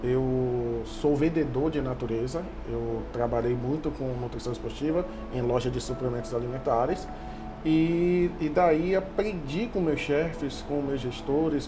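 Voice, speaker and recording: A man giving an informal personal account as an interview guest, stumbling and repeating words. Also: mid-range voice. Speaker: interview guest, sales manager. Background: background music.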